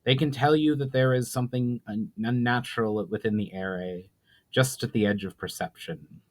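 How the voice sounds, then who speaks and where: A man's voice: mid-range voice, steady tone, moderate pace, lightly acted. A man voicing a courteous, earnest elf envoy with formal wording in a fantasy story. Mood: grave.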